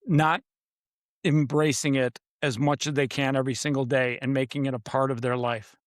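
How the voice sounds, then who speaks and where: A man's voice: mid-range voice, level tone, moderate pace, no room sound, clean studio sound. A man who is a podcast guest and author, talking in a confident, emphatic way. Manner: emphatic, confident, repeats "literally". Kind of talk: casual podcast interview.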